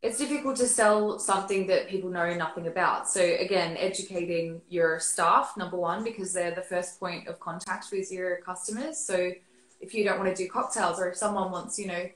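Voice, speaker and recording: A woman's voice: on the low side, fairly even intonation, moderate pace. Woman, 30s, from Australia, guest expert, casual video call, roomy webcam sound. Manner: chatty, many fillers and restarts. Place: living room at home. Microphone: laptop webcam on video call.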